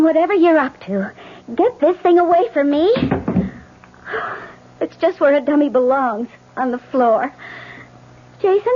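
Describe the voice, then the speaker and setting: An actress playing a willful stage actress who grows frightened, in an old radio drama. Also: female voice, very high voice, slight background noise. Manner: headstrong, rising to terror. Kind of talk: 1970s radio drama acting. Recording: dull old radio broadcast.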